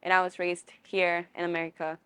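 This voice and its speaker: A woman's voice: lowish voice, completely flat delivery, fairly quick, a bit bright, clear, resonant tone, no echo. A woman born in Colombia, answering interview questions hesitantly, thinking aloud with restarts. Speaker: student interviewee.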